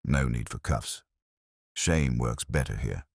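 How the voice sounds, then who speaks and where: A man voicing a calm, dry-witted police inspector who speaks in clipped, unhurried lines, in a spoken story. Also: a bass voice, creaky, dry recording, voice pushed down, exaggerated voice.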